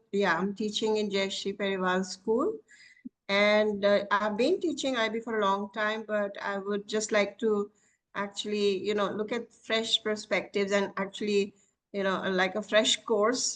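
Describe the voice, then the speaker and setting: A woman's voice: medium pitch, fairly bright tone, low-quality recording. A woman teacher introducing herself on a video call, rambling a little with fillers. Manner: rambling, many "you know" fillers. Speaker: teacher attending a workshop. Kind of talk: casual self-introduction.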